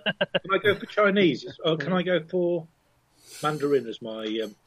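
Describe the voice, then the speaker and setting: A man's voice: a little high, lively intonation, normal speed. A man playing a tabletop game, hesitant and stumbling over his restarts. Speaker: player. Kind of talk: casual tabletop play.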